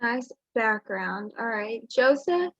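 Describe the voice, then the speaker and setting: High-voiced, unhurried woman hosting a call. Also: animated. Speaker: meeting host. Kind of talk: casual introductions on a group call. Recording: muffled video-call audio.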